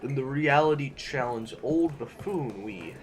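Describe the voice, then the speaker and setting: An actor playing a stiff, officious sovereign of a Martian council in an audio drama, speaking formally and pompously. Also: male voice, medium pitch, animated, a little slow, a crowd in the background, lightly acted. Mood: indignant, contemptuous.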